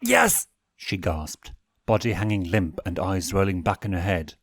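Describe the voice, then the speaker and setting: A man's voice: low-pitched, steady tone, normal speed, dry recording, studio quality. A man narrating fan fiction as a scripted, dramatic audiobook reading.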